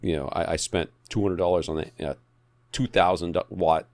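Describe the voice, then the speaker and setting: A man's voice: sits low, steady tone, moderate pace, darkish tone, dry recording. A man co-hosting a podcast, chatting casually and wryly about home theater gear. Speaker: podcast co-host.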